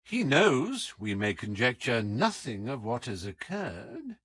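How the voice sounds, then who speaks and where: A man voicing a suave, affable gentleman detective with a polite, teasing manner in an audiobook. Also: mid-range voice, big pitch swings, takes it slowly, breathy edge, no room sound, full character voice. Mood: pleased, playful.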